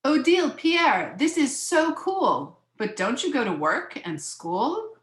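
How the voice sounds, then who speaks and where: A woman's voice: mid-range voice, sing-song, unhurried, a bit bright, echoey room. A woman voicing a petulant, dramatic little girl in a children's storybook, with a pleading manner. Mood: polite, pleading.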